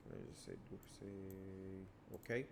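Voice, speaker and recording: A fairly low-pitched man whose first language is Portuguese talks viewers through price charts in a loose, casual lesson. He keeps it relaxed and keeps returning to the same stock phrases. A faint hum of ambient noise sits under his voice. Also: takes it slowly, gravelly. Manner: relaxed, repeats stock phrases like "obviously" and "let us dive straight to the charts". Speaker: trading educator.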